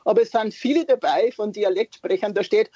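A woman with a Bavarian accent calling in to a radio show, talking in a lively, chatty way. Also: mid-range voice, brisk, breathy edge, no room sound. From Straubing, Bavaria. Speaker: caller. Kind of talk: phone-in chat. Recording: muffled phone line.

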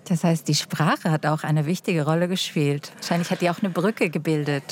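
Interviewer: woman, formal, calm questioning. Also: sits low, fairly quick, music in the background.

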